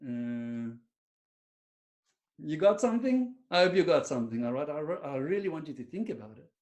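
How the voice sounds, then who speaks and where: A man's voice: fairly high pitch, sing-song, moderate pace, darkish tone. A man with an Afrikaans South African accent presenting a webinar on a video call, chatty and self-deprecating, with many fillers and restarts. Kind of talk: online webinar talk to a silent audience.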